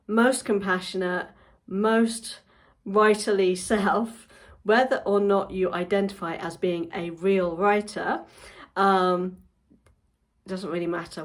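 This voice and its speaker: A woman in her forties, a book coach, chatting casually to camera at home with frequent ums. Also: medium pitch. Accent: non-Japanese English accent. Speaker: book coach and channel host.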